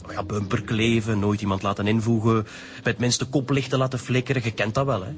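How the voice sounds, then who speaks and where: An actor playing a smooth-talking advertising boss questioned by police in a radio drama, with a Flemish accent. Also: male voice, fairly low pitch, level tone, fast talker, close to an everyday voice. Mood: chatty, explaining. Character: smooth advertising boss pleading innocence to detectives. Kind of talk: naturalistic radio drama acting.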